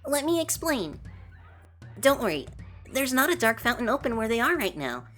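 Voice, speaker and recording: A woman voicing a gentle, earnest young prince who explains things patiently and is eager to help, in an audio drama. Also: on the high side, big pitch swings, moderate pace, soft background music. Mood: reassuring, explaining. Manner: soft-hearted, patiently explaining.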